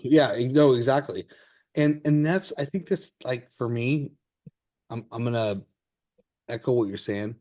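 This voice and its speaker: A man hosting a casual, exploratory interview, talking haltingly and repeating himself as he searches for words. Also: medium pitch, a little mellow. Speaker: podcast host.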